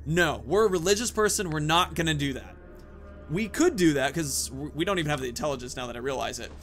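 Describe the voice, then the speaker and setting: High, animated man doing game commentary. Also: fast talker, faint music behind. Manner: chatty, thinking aloud. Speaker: gaming host. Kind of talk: casual let's play commentary. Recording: faint game noise behind the voice.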